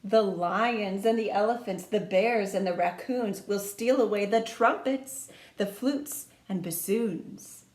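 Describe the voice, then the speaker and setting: A woman reading a children's storybook aloud in an expressive, sing-song storytime manner, speaking to young listeners like a teacher. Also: mid-range voice, takes it slowly, few pauses. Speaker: music teacher reading a picture book to children. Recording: echoey room.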